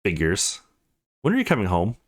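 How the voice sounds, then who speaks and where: A man voicing a caring but quick-tempered, worried young woman in a visual novel game. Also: sits low, wildly swooping pitch, full character voice. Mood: concerned.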